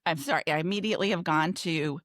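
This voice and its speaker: Podcast co-host: American woman, 50s, notably low pitch, casual reminiscing, dry acoustic. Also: quick pace, a bit bright, no room sound.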